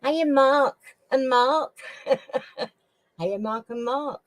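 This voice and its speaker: A middle-aged woman from Sheffield with a Sheffield accent, hosting a casual live chat with viewers in a slow, homely way and often clearing her throat. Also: sits high, no room sound. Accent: Sheffield English. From Sheffield, England. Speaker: live stream host.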